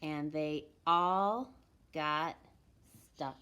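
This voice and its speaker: A woman reads a children's picture book aloud in an expressive storytelling manner, with deliberate pauses and emphasis. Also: low-pitched, clear, resonant tone, highs cut off. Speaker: elementary school storytime reader.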